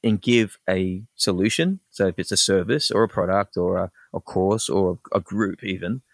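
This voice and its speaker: Australian man with a low, dry voice. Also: creaky, no echo. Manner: quick, enthusiastic explainer with frequent restarts. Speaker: guest, personal branding expert. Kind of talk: casual podcast interview.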